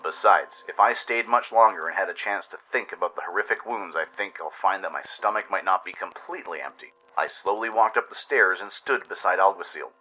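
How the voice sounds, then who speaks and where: Deep, intense male story narrator. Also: fairly quick, hardly any pauses, pushed and bright, soft background music, poor audio. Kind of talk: scripted dramatic first-person story narration, radio-play style.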